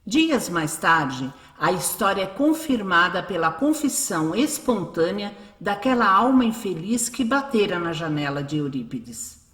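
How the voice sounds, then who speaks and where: A woman's voice: on the low side, very animated, unhurried, hardly any pauses, fairly bright tone. A Brazilian woman narrating a scripted radio drama. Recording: echoey, faint music behind.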